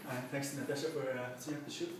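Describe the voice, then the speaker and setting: A young man whose first language is Farsi speaks about his own paintings with no notes, halting and loose, full of filler words and restarts. His voice sits at an average pitch for a man. He is heard from a distance in a very echoey exhibition room, with faint room noise behind him. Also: fairly even intonation. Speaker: artist presenting his paintings. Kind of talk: unscripted gallery talk. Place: art exhibition space indoors.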